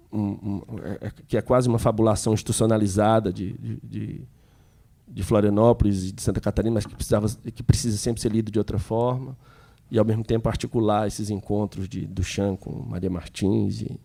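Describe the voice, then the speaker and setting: A low, dark-toned man with a Piauí Brazilian accent opens a museum seminar. His voice has a gravelly edge, and he talks in a halting way, restarting phrases and slowing down on names. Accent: Piauí Brazilian Portuguese. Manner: halting, many restarts and fillers, slows on names. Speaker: seminar organizer and moderator. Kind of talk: spontaneous public speech at a seminar.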